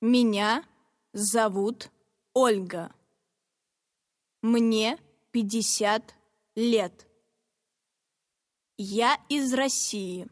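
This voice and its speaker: A woman reading short scripted self-introductions for a language listening exam, phrasing each sentence slowly and deliberately. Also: a little high, no room sound, studio quality, big-room sound. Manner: deliberate, evenly spaced phrasing. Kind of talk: slow, carefully read exam monologue.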